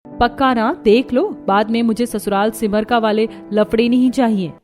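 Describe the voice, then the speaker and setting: A woman plays a spoiled young city wife in a cartoon story. Her voice is high and she talks in a haughty, demanding way, sprinkled with English words. Music plays behind her. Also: steady tone, moderate pace, darkish tone, natural voice. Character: spoiled, brash young modern city daughter-in-law. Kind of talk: Hindi cartoon story dialogue.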